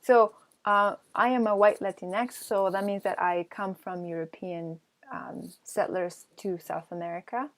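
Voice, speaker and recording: A woman from Peru, an artist answering questions in an online talk, with many pauses, ums and restarts. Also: mid-range voice, steady tone. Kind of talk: casual Q&A answers.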